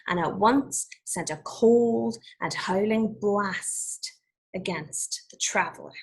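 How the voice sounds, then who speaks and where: A woman teacher reading a story to children in an expressive, deliberate way, pausing to explain and ask questions. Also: mid-range voice, husky, low-quality recording.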